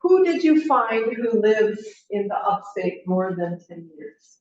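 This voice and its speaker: A woman's voice: mid-range voice, very animated, takes it slowly, a little mellow. A middle-aged woman leading a language teacher training, friendly and encouraging, putting questions to the group. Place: classroom. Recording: roomy, distant, slightly dull.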